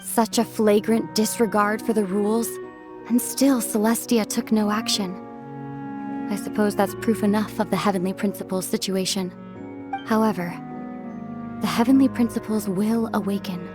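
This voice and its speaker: A woman voicing a gentle but resolute, world-weary young wanderer in a video game cutscene, speaking softly in a measured, pensive way. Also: medium pitch, close to an everyday voice. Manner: soft, measured, pensive, with sighs and pauses. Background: background music.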